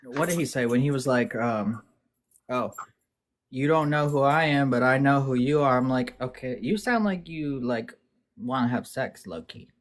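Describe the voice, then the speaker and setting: A man's voice: medium pitch, normal speed. Wry man chatting on a livestream. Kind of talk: casual livestream chat.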